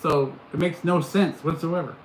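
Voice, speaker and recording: A man's voice: a little high, steady tone, normal speed. Middle-aged male streamer, casual and chatty, amused at chat; roomy echo, faint hum behind. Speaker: livestream host. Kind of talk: casual live chat with viewers. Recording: echoey room, faint background noise.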